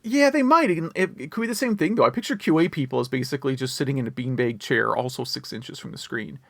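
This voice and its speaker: Male podcast co-host, gravelly mid-range voice, casual, rambling, wry griping. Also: mid-range voice, lively intonation, quick pace, no echo. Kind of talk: casual chat about video games.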